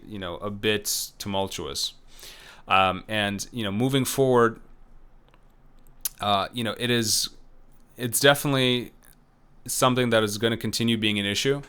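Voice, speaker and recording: A man's voice: lowish voice, pushed and bright, clean tone. A man giving a recorded lecture in a loose, conversational way, full of fillers, restarts and self-corrections. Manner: unsure about names, self-correcting. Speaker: professor.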